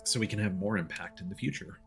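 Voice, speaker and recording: A man chatting casually as he plays a video game on stream, often pausing and restarting mid-thought. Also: on the low side, creaky. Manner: chatty, hesitant mid-thought, playful exclamations. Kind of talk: casual gameplay commentary and chat. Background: faint game music.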